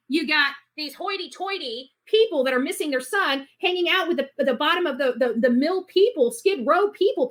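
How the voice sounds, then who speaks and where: A woman's voice: high voice, sharp tone, poor audio. A woman giving casual, opinionated online commentary in a blunt, indignant way, repeating words for emphasis. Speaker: online commentator on a missing-person case.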